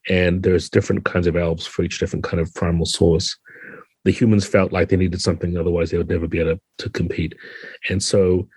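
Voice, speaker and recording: Kiwi guy, husky and pretty flat delivery, chatting as a podcast guest. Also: deep voice, brisk, no room sound. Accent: New Zealand. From New Zealand. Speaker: podcast guest, tabletop game designer. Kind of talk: casual podcast interview.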